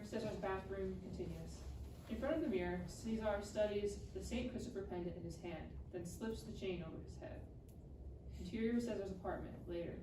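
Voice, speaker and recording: A woman reading scripted stage directions as narrator at a live table read. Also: medium pitch. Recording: roomy distant mic, clear background noise.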